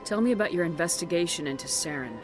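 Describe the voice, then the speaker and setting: A woman's voice: on the low side, animated, quick pace, music in the background, natural voice. A woman playing a blunt, assertive military commander in a video game, speaking in a direct, firm way. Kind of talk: video game dialogue acting.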